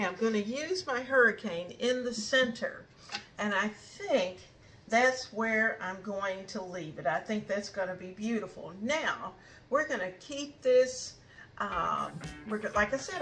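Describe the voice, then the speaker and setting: A woman's voice: medium pitch, a bit bright, rough recording. An older woman hosting a home decor vlog, talking casually and encouragingly as she demonstrates.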